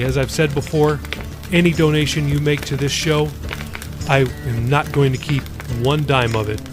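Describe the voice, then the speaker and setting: A man narrating and hosting in an expressive, conversational way. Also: on the high side. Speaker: author and podcast host reading own novel. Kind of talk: audiobook narration and podcast outro.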